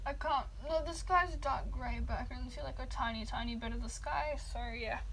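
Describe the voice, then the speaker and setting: A woman's voice: high-pitched, normal speed, fairly bright tone, slight background noise, poor audio. A woman reading fan fiction aloud at home, then chatting in a rambling way full of fillers while eating.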